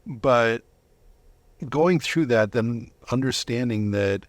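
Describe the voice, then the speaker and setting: A middle-aged man giving a conversational personal testimony as an interview guest, reflective and halting, often rephrasing himself. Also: mid-range voice, no echo.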